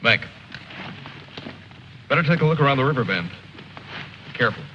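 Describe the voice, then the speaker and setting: An actor playing a level-headed military officer, measured, commanding and wry, in an old film style. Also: male voice, mid-range voice, expressive, natural voice. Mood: wry, concerned. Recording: muffled old film audio, faint noise.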